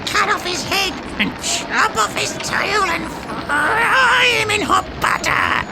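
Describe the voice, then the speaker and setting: A woman voicing an excitable, bloodthirsty witch in a storybook, gloating and shrieking in a creaky, drawn-out way. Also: extremely high pitch, heavy background noise, heavily acted. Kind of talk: dramatised audiobook acting.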